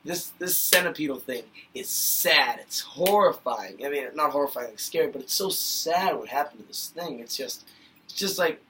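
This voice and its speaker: A man's voice: on the high side, expressive, moderate pace, sharp tone, far from the mic, slight background noise, low-quality recording. A man vlogging a casual, rambling review of a cartoon episode, chatty and full of fillers, getting worked up now and then.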